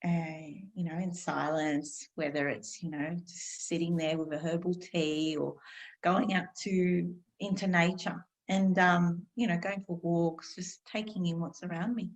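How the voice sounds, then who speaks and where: A woman in her fifties, a podcast guest, speaking calmly and unhurriedly with many pauses. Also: lowish voice, poor audio. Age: 50s. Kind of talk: reflective conversational interview.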